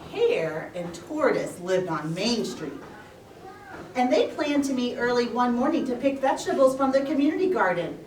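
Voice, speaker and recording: A woman tells a story to little children in a fairly high, animated voice. Her phrasing is simple and expressive. She sounds a little way from the microphone in an echoey room. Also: normal speed, a little hiss or hum. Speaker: storyteller, puppeteer. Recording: roomy echo, distant microphone.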